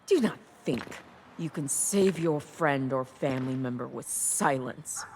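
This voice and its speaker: An actress playing a cold, commanding officer of an empire in a dramatized audiobook, speaking with deliberate menace. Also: female voice, a bass voice, a little mellow, a little hiss or hum. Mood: cold threat.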